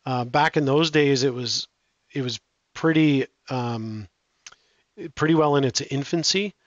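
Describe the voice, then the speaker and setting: A middle-aged man answering interview questions in a relaxed, halting way, with many restarts and fillers. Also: medium pitch, no echo, dull audio. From Canada. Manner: hesitant, frequent restarts and fillers. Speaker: guest.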